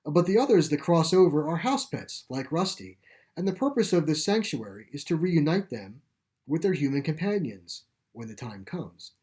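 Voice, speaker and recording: A man reading a story plays a calm, gentle caretaker of an animal sanctuary, explaining things with assurance. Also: on the high side, normal speed. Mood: gently persuasive. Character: calm, reassuring pipe-smoking male caretaker of animal sanctuary. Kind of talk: storybook character dialogue.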